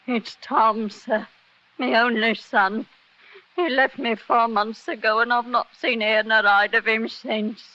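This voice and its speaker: An actress playing an anxious old village seamstress in a 1940s radio drama, humble and pleading. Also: female voice, a little high, steady tone, slow pace, pushed and bright. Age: older. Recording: dull lo-fi 1940s radio recording.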